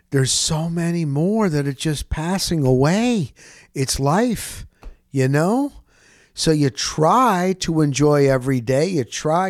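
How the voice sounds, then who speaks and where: Higher-voiced middle-aged man, casual and rambling. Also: no room sound, studio quality. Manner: informal, rambling storytelling. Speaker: interview show host. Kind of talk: casual, reflective monologue and interview chat.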